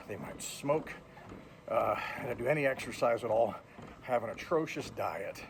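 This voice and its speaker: Man in his fifties, blunt, plainspoken, casual treadmill chat, faint background hum. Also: medium pitch. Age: 50s. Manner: blunt, plainspoken, addresses viewers directly. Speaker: truck driver and vlogger. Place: indoors on a treadmill.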